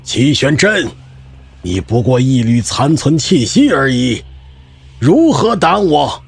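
A booming, slow, taunting immortal patriarch. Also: male voice, sing-song, gravelly, breathy edge, heavily acted. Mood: menacing. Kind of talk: audio drama acting.

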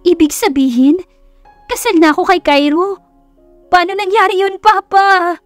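Woman acting a flustered, shocked young woman, pitched high. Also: measured pace, no room sound, faint music behind. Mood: shocked, panicked. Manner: startled, questioning exclamations.

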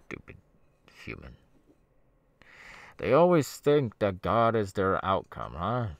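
A man's voice: lowish voice, big pitch swings, slow pace, background noise, exaggerated voice. A man playing a smug, sadistic masked killer in an ASMR audio roleplay, taunting in a menacingly casual, mocking way. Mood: scornful. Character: sadistic masked young male killer, smug, gleeful cult henchman.

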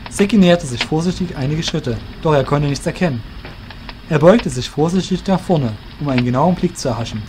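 A man's voice: on the high side, a little fast, noisy background. Male narrator of a fantasy story. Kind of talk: scripted fantasy audio drama narration.

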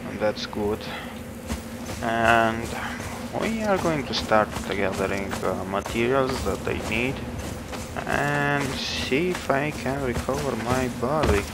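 A young man from Romania streaming a game, talking slowly and haltingly with many fillers, recorded at a desk in a small room. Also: mid-range voice, animated. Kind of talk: casual gameplay chat. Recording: loud background noise.

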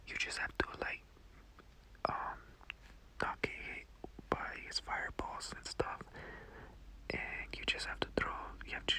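A person whispering casual, rambling ASMR game commentary into a close headphone mic, often repeating phrases. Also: low-quality recording. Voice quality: whispering, unvoiced. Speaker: gaming video host. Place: voice-over recorded over gameplay.

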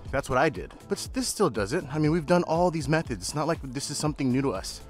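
A man's voice: a little high, expressive, quick pace, soft background music. A man vlogging outdoors in a yard, explaining lawn work in an upbeat, chatty way and laughing often. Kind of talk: casual how-to vlog.

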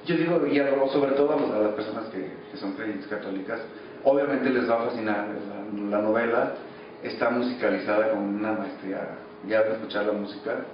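A Mexican man in his thirties answering interview questions casually and haltingly, searching for words. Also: medium pitch. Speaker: actor being interviewed. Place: small office indoors. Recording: echoey, muffled, noisy, distant microphone.

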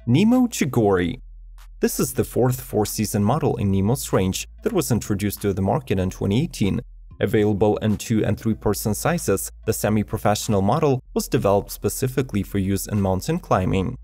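A man reading a scripted product review as a voice-over, in an even, list-like manner. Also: on the low side, brisk, husky, dry recording. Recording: voice-over with faint background music.

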